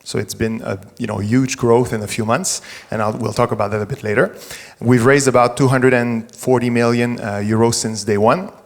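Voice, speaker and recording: A middle-aged French Canadian man addresses a live audience at a conference, talking easily and often restarting his phrases. His voice sits at an ordinary middle pitch. The sound carries the long reverb of a large venue hall. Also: fairly even intonation, moderate pace. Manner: conversational, with frequent restarts and self-corrections. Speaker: tech executive giving a conference talk.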